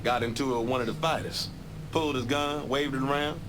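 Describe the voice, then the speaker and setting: A man's voice: mid-range voice, fairly quick, background noise, natural voice. An actor playing a young male boxer who talks in loose, streetwise slang. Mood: laid-back.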